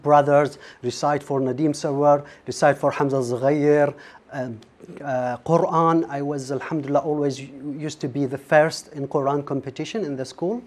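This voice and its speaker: A man, answering as a contestant in a humble, earnest way with many pauses. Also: on the high side, steady tone, darkish tone. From Iraq. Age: 40s. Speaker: talent show contestant. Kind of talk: soft-spoken interview answers.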